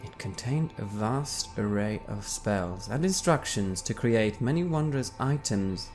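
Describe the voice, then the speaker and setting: A man giving a dramatic first-person reading as his character, measured and wry, letting phrase endings trail off softly. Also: lowish voice, animated, faint music behind. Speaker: player reading character backstory.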